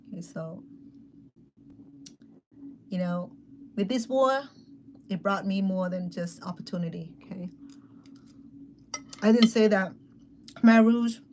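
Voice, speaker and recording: A woman's voice: mid-range voice, darkish tone. A chatty middle-aged woman with a Thai accent talks straight to her viewers in an easygoing, personal way. She keeps checking in with them as she goes. There is a faint hum of background noise behind her voice. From Thailand. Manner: chatty, addresses viewers directly with frequent "okay" and "remember". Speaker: cooking channel host. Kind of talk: casual personal storytelling to viewers.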